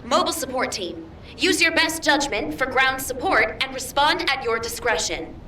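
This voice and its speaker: An actress voicing a crisp, commanding female officer giving orders in a game cutscene. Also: high voice, animated, moderate pace, pushed and bright, reverberant, distant mic, a little hiss or hum.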